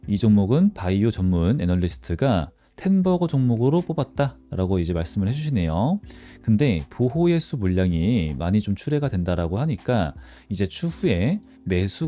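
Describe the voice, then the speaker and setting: A man's voice: deep voice, warm tone. A man hosting a stock analysis channel, explaining informally to viewers and posing questions that he then answers. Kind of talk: informal market commentary to viewers.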